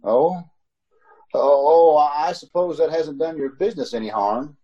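A man's voice: fairly high pitch, warm tone, breathy edge, dull audio. A man playing a haunted, uneasy motorist who tells his story in an old-time radio drama. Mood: nervous, hesitant. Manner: measured narrating, nervous stammering in dialogue. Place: home, recorded over a video call.

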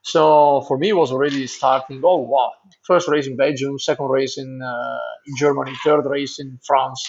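Italian pro cyclist guest, 30s, accented English with a Flemish edge, halting, on a muffled lo-fi line. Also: male voice, mid-range voice, fairly even intonation, a little hiss or hum. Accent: Italian, with a Flemish tinge. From Italy. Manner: halting, restarts words, searches for English phrases. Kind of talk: casual interview answers.

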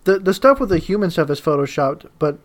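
Male podcast co-host, higher-pitched, playful side comments. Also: very animated, brisk. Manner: playful, chiming in with laughs. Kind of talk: casual chat.

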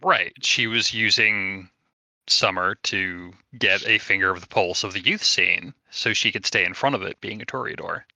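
A man playing a tabletop roleplaying game, casually describing his character's thoughts in a halting, thinking-aloud way. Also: sits low, sharp tone, no echo.

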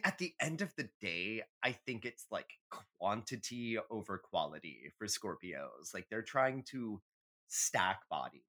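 This is a podcast co-host with a very lively, swooping delivery and an unhurried pace. The talk is casual, emphatic and opinionated, with blunt swearing in the manner of a comic rant. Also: medium pitch.

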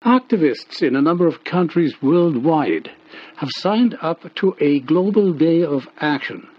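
A man's voice: on the high side, animated, unhurried, breathy edge. A man reading formal scripted news on the radio. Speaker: radio newsreader. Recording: dull, muffled shortwave radio recording.

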